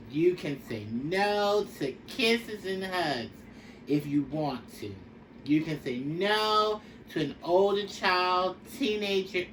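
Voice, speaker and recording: Female storybook reader, instructive and very measured, slightly noisy recording. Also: low-pitched, fairly bright tone. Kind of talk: read-aloud of a children's picture book. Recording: distant mic with faint background noise.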